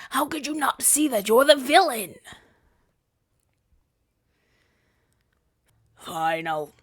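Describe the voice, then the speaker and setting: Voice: medium pitch, dry recording, studio quality. A woman playing a flustered, self-righteous hero in a scripted ASMR audio drama, with a theatrical, stammering delivery. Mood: earnest, exasperated. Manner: stammering, indignant, dramatic delivery with breathy whispers.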